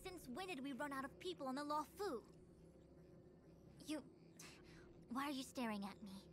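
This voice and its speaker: A woman's voice: sits high, animated, normal speed, background noise. A woman playing a proud, exacting young master diviner and commander in a video game, speaking formally and imperiously. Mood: indignant. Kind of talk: scripted game dialogue, English dub. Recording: game music behind the voice. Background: background game music.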